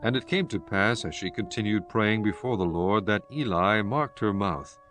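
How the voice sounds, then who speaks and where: A man narrating scripture from a script in a solemn, measured storytelling manner. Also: lowish voice, fairly bright tone. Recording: music bed behind the voice.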